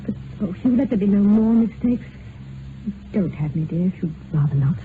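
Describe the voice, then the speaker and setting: A woman's voice: medium pitch, expressive, moderate pace, lightly acted. Arch, genteel young society wife played by a woman, proud and brittle, vintage radio drama, phone-thin with slight noise. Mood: anxious, tender. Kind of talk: period radio drama acting. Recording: tinny old radio recording, some noise.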